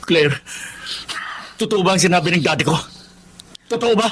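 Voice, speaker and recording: An actor playing a rich, kindly young boyfriend and earnest son in Tagalog melodrama style. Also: male voice, sits high, animated, normal speed, fairly bright tone, a little hiss or hum. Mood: shocked, hurt.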